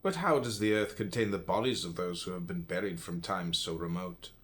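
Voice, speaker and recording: Low-voiced American man reading solemnly. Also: brisk, a bit bright. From United States. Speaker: audiobook reader. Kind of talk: audiobook reading of classical philosophy.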